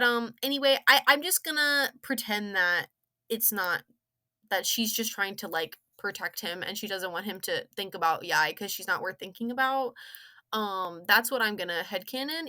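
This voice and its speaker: A young woman rambling casually through an opinionated game story review, slangy and sarcastic, with animated, exaggerated emphasis. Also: mid-range voice.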